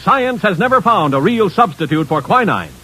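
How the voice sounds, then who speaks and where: A man's voice: high-pitched, very animated, moderate pace, pushed and bright. A man reading a scripted 1950s radio commercial in an emphatic selling manner. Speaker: commercial pitchman. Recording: vintage radio broadcast.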